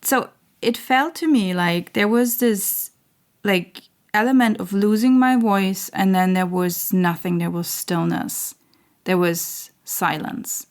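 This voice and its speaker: A woman hosting her own spiritual channel, talking in a slow, searching way with many fillers and restarts while losing her voice. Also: medium pitch. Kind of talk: reflective spiritual monologue.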